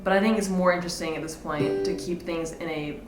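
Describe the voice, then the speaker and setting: Casting: female guitar instructor, slightly low pitch, informal think-aloud teaching style, echoey room recording. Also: fairly even intonation, a little fast. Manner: casual, explanatory, thinking aloud. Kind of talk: informal instructional talk with demonstrations. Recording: roomy echo, guitar played alongside. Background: electric or acoustic guitar playing.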